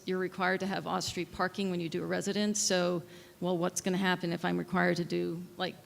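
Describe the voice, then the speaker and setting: A soft-spoken woman, a local resident and architect, giving earnest public testimony at a hearing, explaining with technical terms and many fillers. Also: fairly low pitch, vocal fry. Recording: echoey hall, faint noise.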